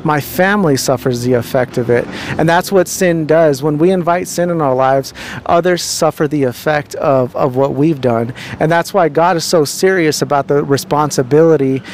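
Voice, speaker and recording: A man's voice: fairly high pitch, normal speed, a little hiss or hum. A young man with a handheld mic interviewing people outdoors on a city street, talking earnestly and preachily with many fillers and restarts.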